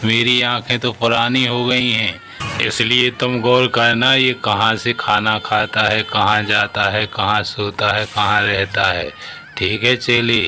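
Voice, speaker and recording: Slow, bright-voiced pompous old guru played by a man. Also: on the low side, little pitch movement, soft background music. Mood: suspicious, commanding. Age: older. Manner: pompous, slow, instructing a disciple. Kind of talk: cartoon story dialogue.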